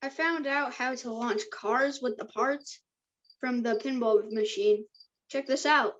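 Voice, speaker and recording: A slightly high voice of a woman plays an evasive young boy who likes to tinker. The delivery is a casual drama table read, on slightly muffled, lo-fi audio. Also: measured pace, a bit bright. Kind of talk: amateur table read acting.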